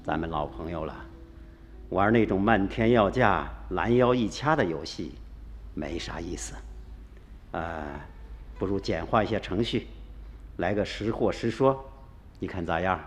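A wary, shrewd relic dealer, played by a man in a radio play, bargaining in a lowish voice. He sounds cautious and wheedling, with faint music underneath. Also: unhurried, long pauses. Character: wary, shrewd male antiques dealer, middle-aged. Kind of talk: radio drama acting.

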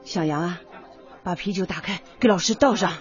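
A woman's voice: lowish voice, brisk, dull audio, music in the background, a crowd in the background, close to an everyday voice. An actress playing a middle-aged, devoted, long-suffering working-class mother in a radio drama, with an earthy, emotional, fussing way of talking. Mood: weary, confiding.

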